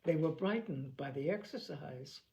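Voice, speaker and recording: A woman voicing a proud, reserved young gentleman in an audiobook, terse and measured with a dry manner. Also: sits low, lively intonation, creaky, heavily acted, low-quality recording. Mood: cool. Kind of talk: audiobook character acting.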